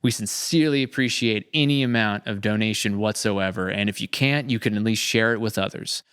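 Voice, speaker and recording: A man's voice: lowish voice, fairly quick, a bit bright, smooth. A man hosting a casual podcast chat, talking in an informal, enthusiastic way. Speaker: podcast host.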